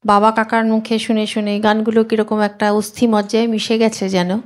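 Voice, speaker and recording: Slightly high-voiced woman, singer in conversation. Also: flat delivery, brisk. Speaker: singer, guest on a music chat show. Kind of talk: casual chat.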